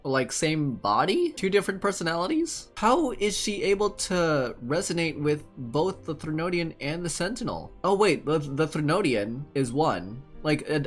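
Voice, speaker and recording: A young man giving casual, slangy playthrough commentary as a game streamer, rambling and thinking aloud. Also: on the high side. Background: game music.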